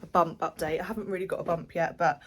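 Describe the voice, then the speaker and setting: Chatty pregnant young mum. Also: female voice, lowish voice, fairly even intonation, fast talker, noisy background. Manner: chatty, rambling with many fillers. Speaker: vlogger. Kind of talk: casual diary vlog. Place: at home.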